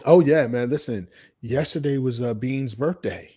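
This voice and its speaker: A man's voice: medium pitch, normal speed, warm tone, slightly rough. An American man hosting a casual podcast chat, speaking in a blunt, salty-tongued way. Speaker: podcast host.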